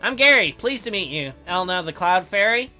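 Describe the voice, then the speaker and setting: An everyday boy acted out by a man, awkward and polite, with a bright, lively voice. He hesitates and stammers sceptically as a visual novel character, faint music behind. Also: sits high, moderate pace, heavily acted.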